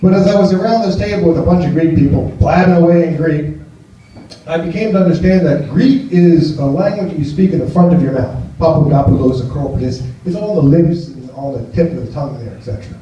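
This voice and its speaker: A man's voice: fairly high pitch, fairly quick, warm tone. Rambling American comedian in his fifties. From United States. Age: 50s. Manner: rambling, self-deprecating, talks to the crowd. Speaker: stand-up comedian. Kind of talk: stand-up comedy set. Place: small cafe venue. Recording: distant, roomy, muffled live cafe recording.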